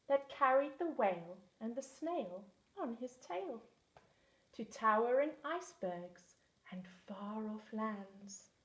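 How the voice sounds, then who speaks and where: A woman's voice: on the high side, lively intonation, takes it slowly. A woman teaching assistant reading a rhyming picture book aloud with a lilting rhythm. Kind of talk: storybook read-aloud. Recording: echoey room.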